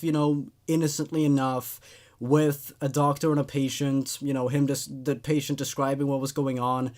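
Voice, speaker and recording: A man hosting a horror story show with laid-back, casual commentary, repeating phrases for emphasis. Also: on the high side.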